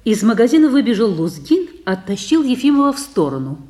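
A woman's voice: a little high, very animated, fairly quick, mellow. A woman narrator giving scripted narration of a play's stage directions.

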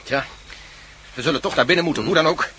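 A practical, resolute companion in a radio adventure. He speaks quickly in a bright, slightly high voice, explaining things plainly over faint noise. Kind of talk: radio drama acting.

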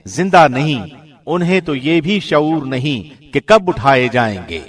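A man with a fairly high voice reads scripture translation in a formal radio-presenter style. His delivery is solemn and measured, with each phrase carefully set out. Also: animated. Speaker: professional radio host reading translation.

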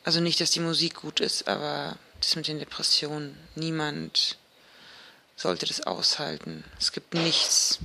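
A woman speaking an informal, introspective audio diary, hesitant and halting, with trailing pauses. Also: low-pitched, creaky, pushed and bright, a little hiss or hum. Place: recorded on a dictation device as a personal diary.

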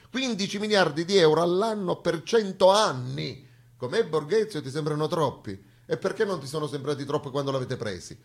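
A man's voice: mid-range voice, husky. A man performing a satirical theatre monologue, conversational but emphatic, full of sarcastic asides and rhetorical questions. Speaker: stage actor performing a monologue.

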